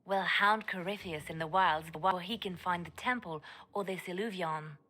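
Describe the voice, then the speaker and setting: A shrewd female spymaster from a fantasy game. Her voice is lowish but bright and forceful. She comes across as brisk and businesslike. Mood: focused.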